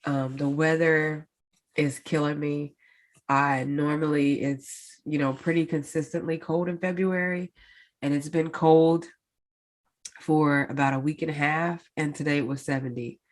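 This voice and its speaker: Solo podcast host, woman, stuffy-nosed and nasal, deep slow easy delivery. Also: steady tone. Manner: chatty, many fillers, addresses listeners directly. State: congested, stuffed-up nose. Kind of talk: casual solo podcast update.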